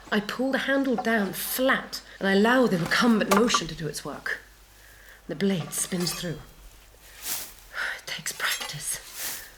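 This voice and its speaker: A contemplative heroine, performed by a woman tells her story as a reflective monologue. Her delivery is measured and often drops to a hush, and clear noise with clicks sits behind the voice. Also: mid-range voice, lightly acted. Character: contemplative female hero recounting harvest work with a scythe. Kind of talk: dramatic monologue, reflective first-person narration.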